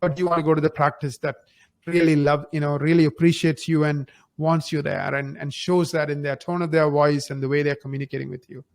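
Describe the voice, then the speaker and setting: A man's voice: a little high, flat delivery, fairly quick, vocal fry. A man giving advice conversationally as a podcast guest, emphatic and fond of rhetorical questions and acted-out examples. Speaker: podcast guest, marketing company founder.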